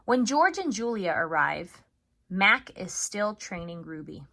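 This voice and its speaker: A woman with a bright, clear-cut voice at a medium pitch speaks as a primary school teacher to her young class. She is chatty and expressive, in the style of an upbeat video lesson with a read-aloud. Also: measured pace. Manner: chatty, addresses children as friends, expressive. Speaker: third grade teacher.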